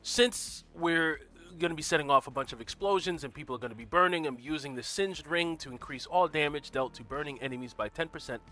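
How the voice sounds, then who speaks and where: Stuffy-voiced gamer guy, bright and forceful. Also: fairly high pitch, a little fast, few pauses. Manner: friendly, greets chat viewers by name; walks through game build details. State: stuffy from a cold, occasional throat clearing. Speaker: gaming livestream host. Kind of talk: casual live gameplay commentary.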